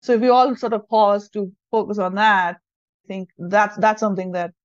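Interview guest: Indian-accented woman, mid-pitched, thoughtful explainer, dry but muffled low-fidelity sound. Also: normal speed, no echo. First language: Hindi. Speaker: podcast guest, English language teacher and trainer. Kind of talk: conversational interview answers. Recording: dull lo-fi audio.

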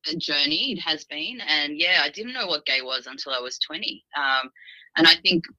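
A woman from Australia, a podcast guest, telling her personal story in a casual, halting way with easy laughs. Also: low-pitched, expressive, bright, forceful tone, dry recording, poor audio.

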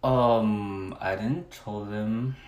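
A man's voice: on the low side, fairly even intonation, extremely slow, echoey room. A man from the Philippines with a Filipino accent, speaking hesitantly with many fillers as an interview guest. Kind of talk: casual personal conversation.

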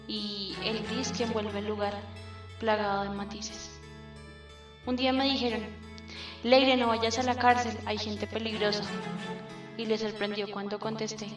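A woman reading a book synopsis aloud as scripted narration, in a dramatic, measured way. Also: medium pitch. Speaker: podcast contributor reading a synopsis. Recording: read over background music.

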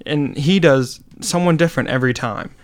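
A man's voice: on the high side, moderate pace, no room sound. A young man co-hosting a podcast, rambling enthusiastically in a casual chat with lots of "like" and "you know". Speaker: podcast co-host.

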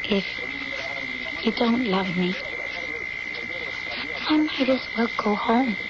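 An actress playing an earnest, plaintive young woman just out of high school in an old-time radio drama. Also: lively intonation, slow. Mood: pleading. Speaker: actress and first-person narrator. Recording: muffled radio recording with heavy noise.

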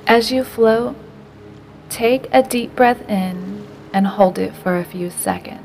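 A woman narrating a guided meditation in a slow, gentle, unhurried way, with softly breathed phrase endings. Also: medium pitch, creaky, soft background music.

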